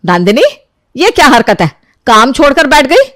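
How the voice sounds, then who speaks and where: A woman's voice: high-pitched, sing-song, moderate pace, a bit bright, no room sound, clean studio sound. A woman voicing a strict, domineering older mother-in-law in a cartoon, scolding harshly. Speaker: cartoon voice actor. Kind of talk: Hindi cartoon dubbing.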